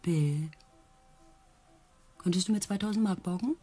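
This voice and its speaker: An actress playing a mocking, discontented circus trick rider in a radio play, with a dry sardonic manner. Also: female voice, on the low side, measured pace, warm tone, breathy edge, soft background music. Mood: teasing, calculating.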